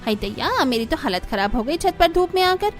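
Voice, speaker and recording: A woman plays a pampered young wife in an animated family story. She complains in an extremely high, whiny voice with theatrical flair. Light music runs behind her. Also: brisk. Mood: dramatic complaint. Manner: whiny, dramatic. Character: pampered, complaining young Indian elder sister-in-law who hates heat.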